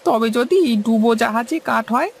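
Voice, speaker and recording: A performer playing an anxious, grumbling young fisherman in a cartoon, with creaky, rustic, questioning speech. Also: medium pitch, expressive, moderate pace, a little hiss or hum. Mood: worried. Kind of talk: Bengali cartoon story dialogue.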